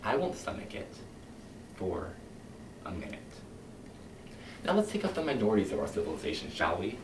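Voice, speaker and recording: A man performing a cynical middle-aged fire chief in a competition monologue, lecturing his firemen with sarcasm and swinging between loud and hushed delivery. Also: fairly low pitch, huge pitch swings, normal speed. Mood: sardonic. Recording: echoey hall heard from far off, faint hiss.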